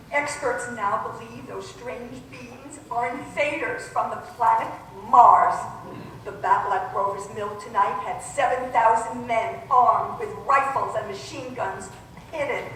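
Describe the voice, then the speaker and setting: A grave female news announcer acted by a woman delivers formal bulletins in an old radio drama. The voice is fairly high, heard in a very echoey hall with faint noise. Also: fairly bright tone, distant mic. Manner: formal, solemn.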